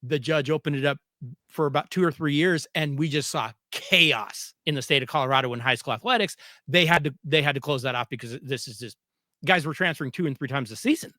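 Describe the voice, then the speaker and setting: A man's voice: a little high, a little fast, bright, forceful tone, no echo, studio quality. A man hosting a conversational podcast interview, speaking emphatically and repeating words for stress. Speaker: podcast host interviewing a guest.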